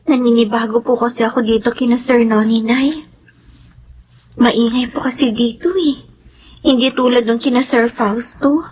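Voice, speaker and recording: An actress playing a polite, devoted young daughter and student who speaks earnestly and respectfully. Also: female voice, a little high, fairly even intonation, moderate pace, darkish tone, lo-fi sound, slight background noise, natural voice. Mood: uneasy. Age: young adult. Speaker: radio drama actor.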